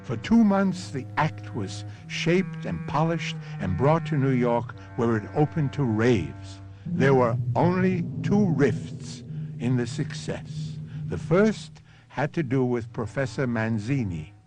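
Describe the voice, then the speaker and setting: A man hosting and narrating a 1970s radio mystery series in a measured, suspenseful, dramatic scripted style. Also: mid-range voice, sing-song, music in the background.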